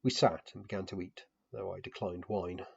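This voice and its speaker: A man's voice: sits low, steady tone, normal speed, gravelly, slightly rough, dry recording, dull audio. A man reading scripted first-person fantasy fiction as narrator.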